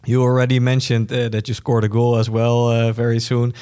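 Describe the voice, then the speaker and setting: A man interviewing a guest, asking questions in a friendly way and filling pauses with "uh". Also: medium pitch, completely flat delivery, mellow, smooth.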